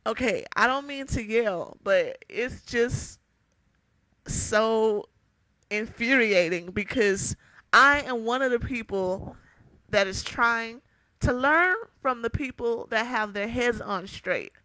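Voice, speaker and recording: Raspy, heated woman ranting to camera. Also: fairly high pitch, slow, bright, forceful tone, no echo, poor audio. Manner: heated, emphatic, repeats points. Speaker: vlogger.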